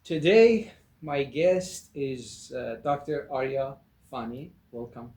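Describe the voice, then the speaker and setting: A man from Iran hosting an interview show, introducing his guest slowly and laughing easily. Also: mid-range voice, a little mellow, echoey room. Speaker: podcast host. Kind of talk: interview chat.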